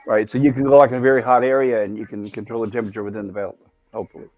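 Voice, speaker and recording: The sound is dry and slightly muffled, lo-fi stream audio. A man of middle pitch talks casually as a co-host, haltingly repeating words as he works out a thought. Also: little pitch movement, darkish tone, no room sound. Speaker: co-host of an online review stream. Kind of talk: casual fan discussion.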